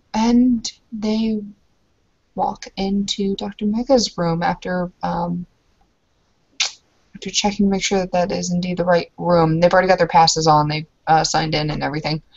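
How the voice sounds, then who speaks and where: A woman's voice: on the low side, steady tone, measured pace, long pauses, lo-fi sound. A woman narrating her character's actions in a tabletop roleplaying game, informal and hesitant as she improvises.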